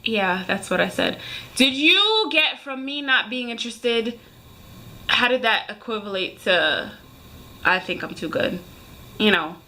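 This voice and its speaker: A woman's voice: fairly high pitch, expressive, unhurried, sharp tone, poor audio. A woman in her thirties speaking casually and emphatically to viewers, like a vlogger airing a rant. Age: 30s. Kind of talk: casual ranting chat to viewers.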